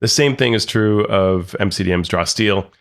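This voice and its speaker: A man's voice: on the low side, normal speed, gravelly. A man co-hosting a podcast in casual, upbeat chat, his voice thick and congested from a cold. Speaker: podcast co-host.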